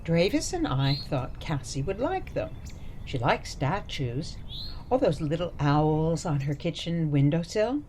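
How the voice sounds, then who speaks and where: Slow, gushing, doting grandmother played by a woman. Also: sits low, big pitch swings, dark tone, a little hiss or hum. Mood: sweetly explaining. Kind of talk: radio play acting.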